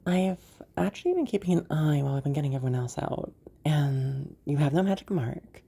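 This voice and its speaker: A woman voicing a playful, teasing magical woman in a roleplay audio drama, amused and giggly with hesitant pauses. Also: low-pitched, lively intonation, vocal fry, mellow, breathy edge, heavily acted. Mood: curious, puzzled.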